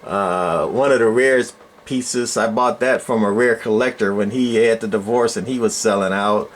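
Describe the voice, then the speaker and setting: A man giving a casual, unscripted show-and-tell as a collector, rambling and often pausing to search for words. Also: lowish voice. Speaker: radio collector and channel host.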